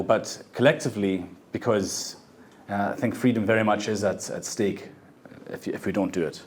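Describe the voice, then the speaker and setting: A man who grew up in South Africa giving a meetup pitch talk, halting, with frequent fillers and restarts. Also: a little mellow. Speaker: startup founder presenting. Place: event venue.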